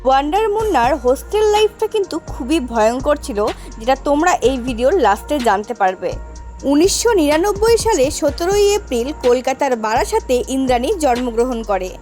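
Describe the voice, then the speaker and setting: A woman's voice: high voice, sing-song, brisk, dark tone. A woman hosting and narrating a scripted online video, speaking directly to her viewers. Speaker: channel host and narrator. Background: background music.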